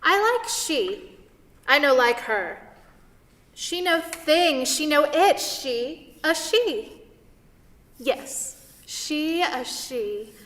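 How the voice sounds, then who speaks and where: A woman's voice: high voice, big pitch swings, very slow, pauses often, fairly bright tone, heavily acted. A woman acting a tender, insistent female tree spirit who speaks in simple broken grammar, in a staged play reading. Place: theatre stage. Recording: reverberant theatre hall.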